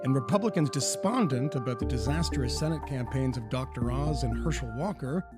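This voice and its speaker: A man reading a wry, measured news narration. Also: mid-range voice, animated, over loud music.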